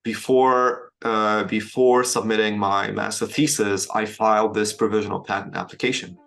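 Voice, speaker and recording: A man from Syria, a founder answering interview questions in a measured way with frequent hesitations and restarts. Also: fairly low pitch, fairly even intonation, creaky, fairly bright tone. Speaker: startup founder, guest.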